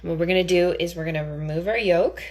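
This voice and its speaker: A woman's voice: fairly low pitch, quick pace. A woman giving a casual cooking demonstration in a chatty, theatrical, playfully enthusiastic way.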